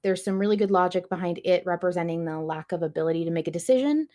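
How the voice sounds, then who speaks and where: A woman's voice: lowish voice, fast, mellow. A woman hosting a podcast, talking casually in a chatty, explanatory way with some emphasis. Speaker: podcast host.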